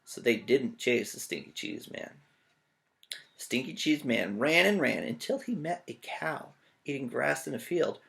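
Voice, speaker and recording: Casting: playful male teacher for read-alouds, highly varied pitch, creaky edge. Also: unhurried. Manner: playful, joking, expressive. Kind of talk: animated children's story read-aloud with playful asides.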